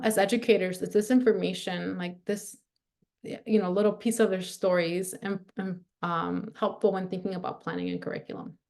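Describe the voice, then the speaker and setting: Gravelly-voiced woman leading a workshop. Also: fairly low pitch. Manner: casual, frequent "like" and "right?" fillers. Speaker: workshop presenter. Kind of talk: teaching a video-call workshop.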